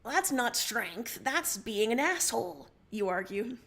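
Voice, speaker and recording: Woman reading fanfic on stream, kinda high voice, expressive narration and dialogue. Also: unhurried. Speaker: livestream story reader. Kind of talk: expressive second-person fiction narration.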